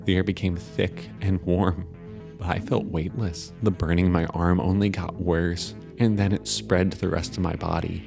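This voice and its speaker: A man narrating a dramatic first-person story as a frightened, lonely teenage boy, solemn and full of pauses. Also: sits low, flat delivery, creaky, over music. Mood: solemn, tense.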